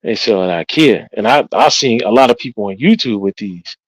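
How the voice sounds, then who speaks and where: A man's voice: lowish voice, expressive, a little fast, slightly rough, dry recording, low-quality recording. A man co-hosting a casual chat, agreeable and joking, often repeating his words. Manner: agreeable, laughing, repeats himself. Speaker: podcast co-host.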